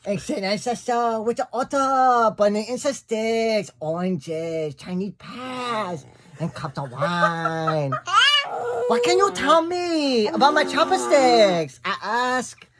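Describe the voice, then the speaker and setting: A man's voice: very high-pitched, expressive, heavy background noise. A man in his thirties reading a children's picture book aloud to his toddler in a small bedroom, recorded close on a phone. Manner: put-on accent in quoted lines, slow and laughing. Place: small bedroom at home, indoors. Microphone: phone camera held close.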